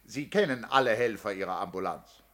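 An actor playing a methodical defence lawyer in a 1970s German radio play, questioning insistently with deliberate, pointed pauses. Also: male voice, fairly low pitch, lively intonation, bright, forceful tone. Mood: formal, insistent.

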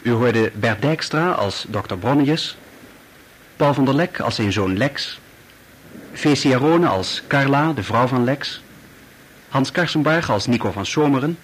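A man's voice: medium pitch, expressive, unhurried. A man with a Dutch accent reading scripted credits as a radio announcer. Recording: slightly noisy old radio recording.

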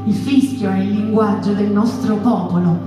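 An actress playing a wistful, poetic narrator in a literary stage monologue, measured and deliberate with pauses. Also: female voice, medium pitch, steady tone, lightly acted. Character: wistful, poetic female narrator of the mouse folk. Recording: very echoey theatre with music underneath.

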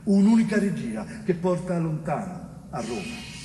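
An actor playing a dead police chief in a stage monologue, bitter and lucid, with deliberate dramatic pauses and falling phrase endings. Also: male voice, fairly high pitch, very animated, dark tone. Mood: bitter, measured. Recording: roomy stage recording, distant mic, faint noise.

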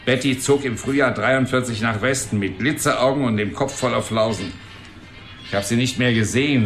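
A man's voice: medium pitch, a bit bright, roomy sound, close to an everyday voice. An actor playing a cranky, cocky old man, a wisecracking and belligerent mechanic, in a German radio drama. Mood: boastful, grumbling. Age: older. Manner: wisecracking, belligerent, long-winded.

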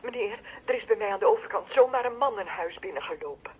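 A woman's voice: mid-range voice, animated, quick pace, noisy background. An actress playing a watchful, deferential female neighbour in a radio play, hesitant yet insistent, with a Netherlandic Dutch accent, heard through a telephone filter. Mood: worried, insistent. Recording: muffled, band-limited telephone line.

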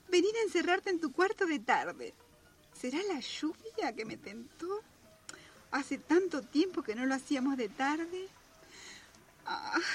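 A high-voiced, flirtatious widow. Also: female voice, heavily acted. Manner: flirtatious, sighing, coaxing. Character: playful, amorous widow, coquettish and wistful. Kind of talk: radio drama acting.

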